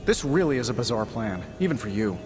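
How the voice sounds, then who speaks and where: A man's voice: fairly low pitch, very animated, fast, big-room sound. A man playing a wry, flippant, impatient superhero in a video game cutscene, with a casual wisecracking delivery. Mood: exasperated. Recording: very loud game music behind.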